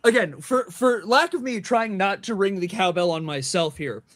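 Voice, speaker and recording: A man's voice: sits high, normal speed, a bit bright, dry recording. A man telling a long, rambling story to friends with lots of fillers and restarts, acting out quoted dialogue. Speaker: band member and show regular. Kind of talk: casual storytelling among friends. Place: small room with several people around mics.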